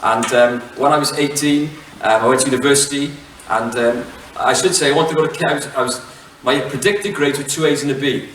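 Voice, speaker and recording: A middle-aged Welsh man giving a relaxed public talk, chatty and self-mocking, with plenty of fillers and restarts. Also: mid-range voice, a little fast, slight background noise. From Wales. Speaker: head teacher giving a talk. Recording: echoey hall, mic from far off.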